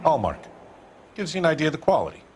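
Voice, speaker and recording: A man's voice: on the high side, huge pitch swings, fast, background noise. A man playing a curt, evasive pawnbroker in a video game, speaking tersely and grudgingly.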